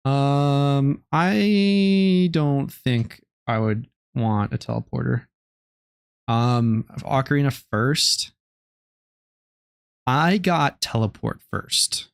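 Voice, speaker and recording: A young man streaming a game live, chatting casually in a low-key, relaxed way with many ums and restarts. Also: slow, creaky, long pauses, smooth, dry recording, clean studio sound. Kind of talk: casual live-stream chat while gaming.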